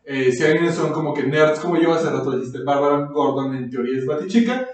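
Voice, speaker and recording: A Mexican man chats as a podcast co-host in loose, slangy language. His voice is fairly high for a man and rings in a very reverberant room, slightly away from the microphone. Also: fairly quick. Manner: slangy, self-described nerd. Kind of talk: casual chat about comics. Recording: very echoey room, far from mic.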